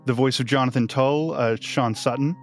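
A man's voice: medium pitch, fairly even intonation, normal speed, faint music behind. A man hosting a casual behind-the-scenes podcast chat about his creative work. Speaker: podcast host and audio drama creator.

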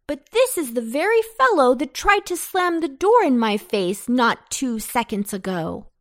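An earnest young boy from a children's storybook, acted by a woman. He complains in an aggrieved way, with slow, deliberate phrasing and big rises and falls in pitch. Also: big pitch swings, exaggerated voice. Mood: angry.

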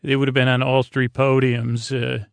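Male sleep-podcast host, creaky voice, close dry sound, wandering and hesitant delivery full of false starts. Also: mid-range voice, monotone, no room sound. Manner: meandering, tangent-prone, halting and stammering; languid, drawn-out delivery meant to lull. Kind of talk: rambling bedtime podcast monologue.

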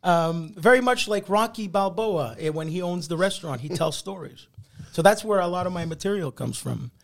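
A middle-aged man, a comedian whose first language is Italian, telling anecdotes on a podcast with lively restarts. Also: high voice, moderate pace, creaky. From Canada. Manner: animated anecdotes with laughs and restarts. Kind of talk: casual storytelling interview.

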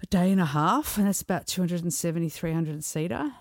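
Australian lady singer chatting on a podcast, lively, laughs a lot, dry mic. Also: fairly low pitch, quick pace, dry recording. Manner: chatty, laughing, animated storyteller. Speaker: singer guest. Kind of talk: casual podcast chat.

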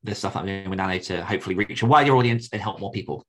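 A man co-hosting a casual podcast, quick and teasing, laughing easily. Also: deep voice, fairly bright tone. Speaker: podcast co-host. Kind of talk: casual chat.

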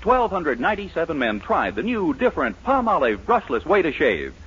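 A man's voice: fairly high pitch, wildly swooping pitch, a little fast, fairly bright tone, husky. A man working as a radio announcer and narrator, with polished, theatrical 1940s scripted delivery. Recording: muffled old radio broadcast recording.

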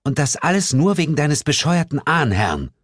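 A man's voice: a little high, big pitch swings, normal speed, dry recording, studio quality, pitched above the performer's own voice. A man voicing a touchy, complaining wife in a whining, reproachful manner for an audiobook.

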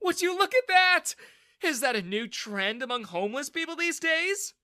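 A man voicing a sneering, hot-tempered middle-aged father who shouts and mocks in a storybook narration. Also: very high-pitched, sing-song, moderate pace, dry recording, studio quality, pitched above the performer's own voice, full character voice. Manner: shouting, mocking.

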